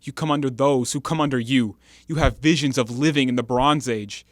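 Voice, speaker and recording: A man acting in an audio play as a bitter, sly ex-convict forger, speaking in a theatrical, insinuating, deliberate way. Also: mid-range voice, no room sound, studio quality, natural voice. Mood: agitated, anguished.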